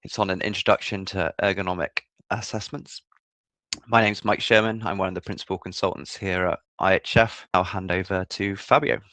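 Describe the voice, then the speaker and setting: Low, bright-voiced man opening a webinar. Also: fairly even intonation, brisk, no room sound. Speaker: consultant introducing a webinar.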